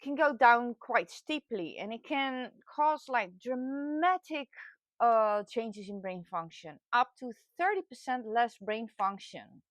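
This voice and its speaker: A woman in her late forties from the Netherlands with a Dutch accent, telling her own experience casually and candidly, often pausing to search for words. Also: on the high side, animated, dry recording. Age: late 40s. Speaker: vlogger sharing personal experience. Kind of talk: casual personal talk to camera.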